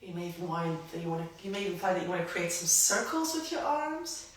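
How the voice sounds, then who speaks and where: A woman guiding a grounding movement practice, gentle and invitational, her voice a little croaky from hay fever. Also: fairly low pitch, big pitch swings, distant mic, slight background noise. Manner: gentle, invitational, unhurried guidance. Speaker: somatic practice guide. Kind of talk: guided movement instruction. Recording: roomy echo, voice from afar.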